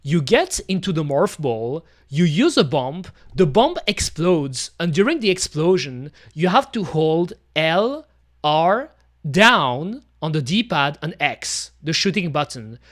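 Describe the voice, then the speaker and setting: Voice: mid-range voice, very animated, takes it slowly, fairly bright tone. A streamer from Belgium with a Belgian accent, whose first language is French, chatting casually to viewers while playing and often saying "you know" and "pretty much". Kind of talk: casual gameplay commentary.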